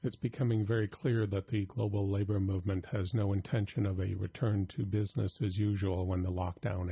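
Male news reporter, low and gravelly, dark tone, dry close sound. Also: dry recording.

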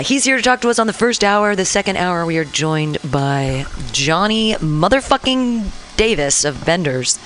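A woman hosting a casual talk radio show, loose and chatty, swearing freely between segments. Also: sits low.

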